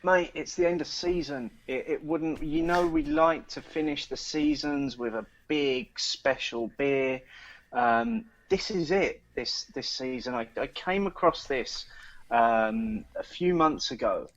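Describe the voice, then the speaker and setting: A man's voice: a little high, expressive, takes it slowly, vocal fry, no room sound. Chatty man, dull dry recording. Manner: chatty, many ums and restarts. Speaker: podcast co-host. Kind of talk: casual chat and news reading.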